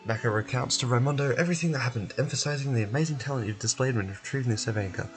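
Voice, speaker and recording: A man's voice: medium pitch, racing, music in the background. A man reading video game narration aloud as a streamer voicing over a quest.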